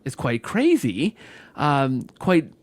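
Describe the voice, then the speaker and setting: A man hosting a conversational interview, talking haltingly, repeating words and often checking in with "right?". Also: medium pitch, wildly swooping pitch. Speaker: podcast host.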